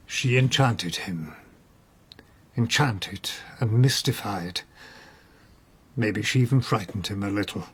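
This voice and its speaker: An actor playing a whimsical, evasive older nobleman in a radio drama, musing with gentle irony. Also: lowish voice, husky. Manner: musing, playful, gently ironic. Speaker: suspect in a radio play. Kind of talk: British radio drama acting.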